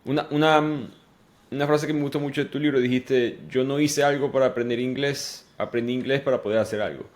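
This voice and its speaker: A man's voice: medium pitch, fairly even intonation, a little fast. A man hosting a podcast interview who talks reflectively and poses questions to his guest. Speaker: podcast host.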